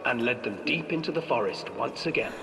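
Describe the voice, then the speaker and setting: An actor playing a theatrical storyteller, narrating a dark fairy tale for a video game with deliberate drama. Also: male voice, medium pitch, expressive, creaky, very noisy. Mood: theatrical, ominous. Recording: echoing hall, distant, music behind.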